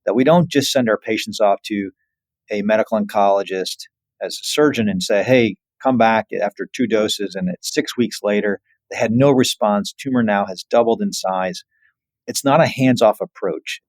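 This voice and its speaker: Low man explaining, close dry sound. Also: normal speed, no echo, rough recording. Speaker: surgeon, guest expert. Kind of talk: explanatory interview answer.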